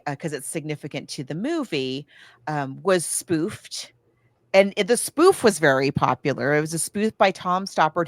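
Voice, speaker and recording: Low-voiced woman, chatty podcast host, close and dry. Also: dry recording. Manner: chatty, enthusiastic, emphatic with repeated intensifiers. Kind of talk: casual book and film discussion.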